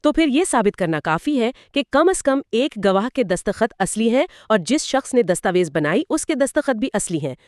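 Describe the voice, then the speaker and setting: A woman's voice: fairly high pitch, sing-song, moderate pace, hardly any pauses, a little mellow, no echo, studio quality. A woman, explaining legal points step by step with examples, as co-host of an educational dialogue.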